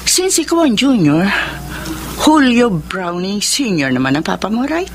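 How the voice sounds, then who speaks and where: Commanding, vengeful Filipino boss lady, animated delivery. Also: mid-range voice, measured pace, a little hiss or hum. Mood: brisk, bossy. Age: middle-aged. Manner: commanding, code-switching into English. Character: stern, vengeful older female boss, jilted long ago. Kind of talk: radio drama acting with narration.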